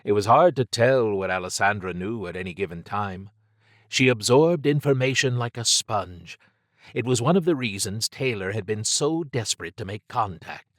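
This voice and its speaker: A man's voice: lowish voice, animated, normal speed, no echo, clean studio sound. A man narrating scripted fiction as an audiobook reader.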